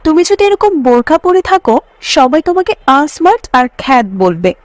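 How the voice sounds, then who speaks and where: A woman's voice: high voice, sing-song, a little fast, no room sound, studio quality. A woman voicing a breezy, persuasive modern college girl in a moral-tale cartoon, casual and coaxing.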